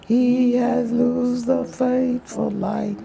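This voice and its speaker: A woman sings a hymn extremely slowly in a high voice. Her tone has a gravelly creak. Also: flat delivery, over music, low-quality recording. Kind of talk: slow solo hymn singing.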